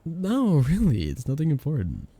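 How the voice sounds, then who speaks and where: A young man acting a flustered best friend with a hidden crush in an intimate ASMR romance roleplay, soft-spoken, casual and hesitant. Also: on the high side, huge pitch swings, normal speed, breathy edge, no echo, heavily acted. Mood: awkward, evasive.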